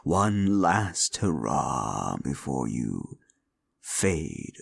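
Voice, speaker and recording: A man voicing a scornful male demon bound by a wish contract, talking down to the listener in a slow, mocking audio roleplay. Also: very deep voice, sing-song, creaky, no room sound, exaggerated voice. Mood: gloating.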